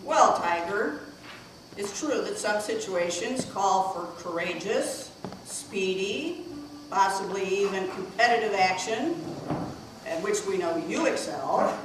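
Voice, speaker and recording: A woman's voice: medium pitch, lively intonation, takes it slowly. A woman playing a gracious, teasing, wise moon goddess in an amateur puppet show, with playful storybook delivery. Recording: echoey noisy room, far from mic.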